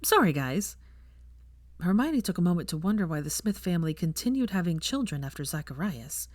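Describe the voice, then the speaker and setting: A woman's voice: fairly low pitch, lively intonation, fast, warm tone, no echo. A woman reading a story aloud as narrator, in a scripted storytelling style. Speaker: fan fiction narrator.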